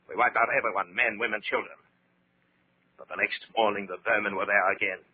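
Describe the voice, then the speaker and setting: An actor playing a bullying, secretly terrified German officer in a 1940s radio horror drama, barking commands insistently. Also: male voice, lowish voice, steady tone, a little fast, breathy edge. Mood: uneasy, explaining. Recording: scratchy, narrow-band 1940s radio recording.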